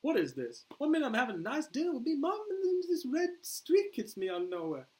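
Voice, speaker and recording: A chummy Australian rogue, put on by a male reader, pitched high and swinging widely. He is cheeky and matey, with a broad attempted Aussie accent, like a comic-book audiobook scoundrel. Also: wildly swooping pitch, a little fast, darkish tone, full character voice. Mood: chummy, boastful.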